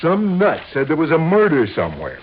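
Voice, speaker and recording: Radio drama insurance adjuster: American, skeptical, protesting, fairly high voice, muffled vintage sound. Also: male voice, huge pitch swings, brisk. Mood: exasperated, skeptical. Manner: fussy, hesitant, protesting. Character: exasperated, skeptical male insurance adjustment office man. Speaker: supporting actor. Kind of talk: 1950s radio drama acting, conversational. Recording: muffled, faintly noisy vintage radio recording.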